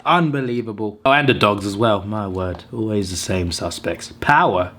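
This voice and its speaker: A hoarse man who is under the weather, hosting a channel and tallying wrong viewer tips with mock exasperation. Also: fairly low pitch, moderate pace, a bit bright. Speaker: channel host. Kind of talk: casual commentary reading out viewer tips.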